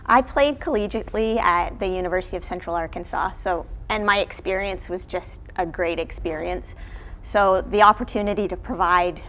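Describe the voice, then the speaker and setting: A woman's voice: fairly low pitch, moderate pace, fairly bright tone, slight background noise. A woman from Canada, a college golf coach, chatting casually as an interview guest in a studio. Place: television studio.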